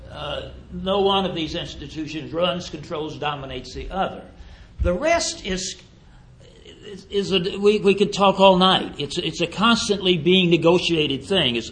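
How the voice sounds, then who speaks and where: A man's voice: fairly high pitch, fairly bright tone. Stammering man on a forum panel. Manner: stammering repeats. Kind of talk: public forum discussion.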